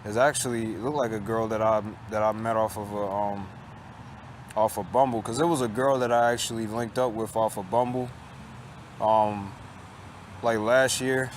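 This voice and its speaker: A man in his late twenties talking in a casual confessional monologue, halting and repetitive, restarting often and swearing, with a resigned tone. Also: fairly low pitch, steady tone, a little hiss or hum. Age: late 20s. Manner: resigned, downcast tone. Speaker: vlogger. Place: outdoors on a college campus.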